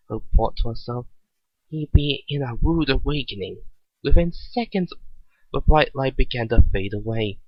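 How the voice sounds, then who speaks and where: A man's voice: mid-range voice, no room sound, muffled recording. A man giving an amateur dramatic reading of written fiction, often stumbling and repeating words. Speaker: amateur reader of a fan story.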